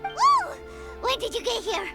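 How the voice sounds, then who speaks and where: A woman voicing a small, blunt, chirpy fairy companion in a video game, with a squeaky childlike voice over loud music. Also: huge pitch swings, a little slow, full character voice. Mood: excited, curious. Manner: exclamatory, blunt.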